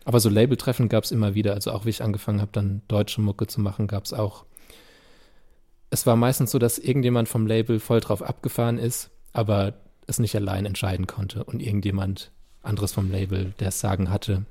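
A man, a musician, talking candidly and reflectively about his career in a relaxed, slangy interview. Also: on the low side, a little fast, slightly rough. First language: German. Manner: laid-back, reflective, slangy. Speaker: musician guest. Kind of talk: candid casual interview talk.

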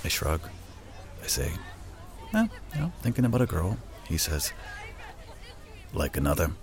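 Deep-voiced, wry, lonely guy. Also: very animated, a little slow, exaggerated voice. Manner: hesitant, self-deprecating, dry. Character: wry, lonely man shyly angling for company after work. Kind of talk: naturalistic audio drama dialogue. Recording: outdoor city street, faint crowd, traffic.

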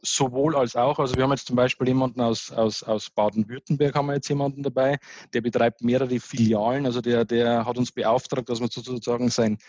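A man with a Bavarian accent tells his founding story in a relaxed, chatty way. He is full of little fillers and restarts. His voice is slightly husky, and the recording is dry and a little dull. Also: medium pitch, brisk, dry recording. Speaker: start-up founder, guest.

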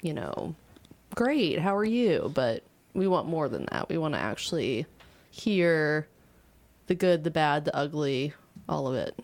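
The woman steering the segments on a local community radio chat show, her voice sitting fairly low. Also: unhurried. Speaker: podcast and radio show co-host. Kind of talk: casual chat.

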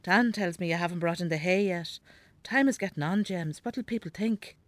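A woman's voice: on the low side, moderate pace, dry recording, close to an everyday voice. An actress playing a sick, elderly Irish mother in a radio drama, demanding and teasing, with a plaintive, contrary manner. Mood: nagging, demanding. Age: older. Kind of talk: naturalistic radio drama acting.

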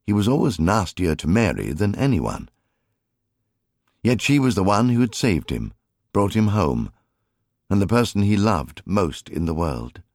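A man reading a story as an audiobook narrator, in an expressive, measured storytelling manner. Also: low-pitched, no room sound, clean studio sound.

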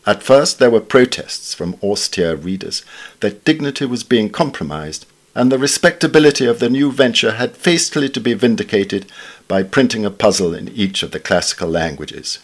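A man giving a measured, formal scripted reading of witty literary essays. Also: fairly low pitch, lively intonation. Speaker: reader of newspaper essays.

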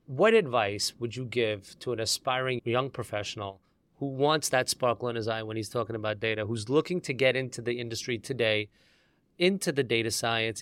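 A man's voice: mid-range voice, brisk, fairly bright tone, dry recording. A man hosting a podcast interview, asking his guest friendly, complimentary questions. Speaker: podcast host. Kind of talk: conversational interview.

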